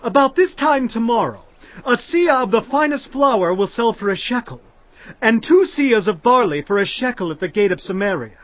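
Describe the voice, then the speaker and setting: A man's voice: very high voice, animated, normal speed, muffled recording. An actor playing a stern old male prophet who speaks in solemn, commanding pronouncements, in a dramatized audio Bible.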